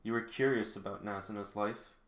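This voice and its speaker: A man's voice: lowish voice, steady tone, brisk, lightly acted. A man reading the part of an earnest, self-conscious, lovestruck teenage boy from a manga. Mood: earnest, probing. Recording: roomy, echoing indoor sound.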